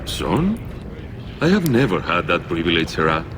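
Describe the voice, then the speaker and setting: An actor playing a suave foreign merchant in a video game, with courtly, flowery sales patter. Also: male voice, sits low, huge pitch swings, moderate pace, noisy background. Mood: guarded, wistful. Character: suave male Antivan merchant peddling fine wares. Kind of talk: theatrical video game character acting.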